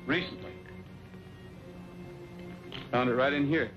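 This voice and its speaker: Man playing a steady cowboy hero, vintage sound. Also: medium pitch, slow pace, close to an everyday voice. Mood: calm. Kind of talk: old-time western film acting. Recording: dull vintage soundtrack with music behind.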